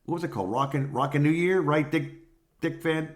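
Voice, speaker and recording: A man's voice: a little high, brisk. A middle-aged man chatting casually as a co-host, reminiscing in a rambling storytelling way. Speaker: podcast co-host, former radio host.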